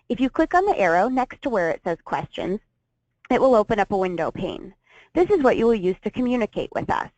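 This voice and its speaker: Woman hosting a webinar with a lively, up-and-down announcer voice. She reads her introduction in a clear, orderly way. The recording sounds dry and dull, a bit lo-fi. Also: medium pitch, no room sound. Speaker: webinar organizer and host.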